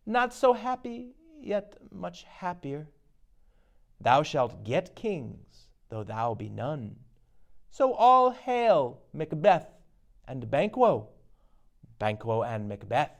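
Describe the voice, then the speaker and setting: A man performs an old witch from a classic stage tragedy, spiteful and prophetic. The chant is slow and creaky, with wild leaps up in pitch and sudden cries. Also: a little mellow, exaggerated voice. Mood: exultant prophecy. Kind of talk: dramatic Shakespeare reading.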